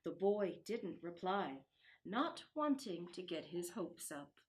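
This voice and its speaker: A woman storyteller reading a children's storybook expressively, with dramatic, deliberate phrasing that dips into hushed tones. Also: on the low side, sing-song.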